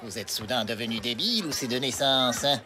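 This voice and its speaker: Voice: medium pitch, animated, a little fast, music in the background, lightly acted. An actor playing a haughty, exasperated brainy schoolboy in a French cartoon, pedantic and dismissive. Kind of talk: French animated cartoon acting.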